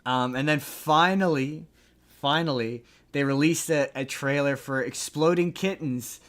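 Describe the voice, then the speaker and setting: A man's voice: on the high side, unhurried, a bit bright. Casual male podcast host, rambling. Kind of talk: casual entertainment news chat.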